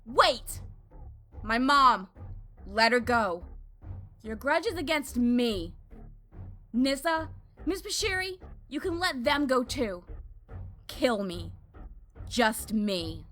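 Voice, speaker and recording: A woman's voice: fairly high pitch, lively intonation, takes it slowly, long pauses, a bit bright, faint music behind. An actress playing a hot-tempered young female fire mage in an audio drama, impassioned and defiant. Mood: desperate pleading.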